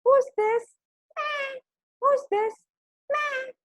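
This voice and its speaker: A woman's voice: very high voice, extremely slow, dry recording, lo-fi sound, pitched above the performer's own voice, heavily acted. A woman voicing childlike little storybook lambs that bleat between phrases. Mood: curious.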